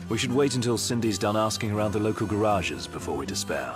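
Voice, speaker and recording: Man as composed game adviser, low voice, expressive intonation, precise, music behind. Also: quick pace, close to an everyday voice. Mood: composed, serious. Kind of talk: video game voice acting.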